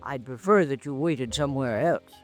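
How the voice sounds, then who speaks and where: An actor playing a snobbish, deferential apartment house attendant in 1950s radio noir, polite, hesitant and prim. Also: male voice, mid-range voice, expressive, normal speed, lightly acted. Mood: prim, uneasy. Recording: vintage radio recording, music behind.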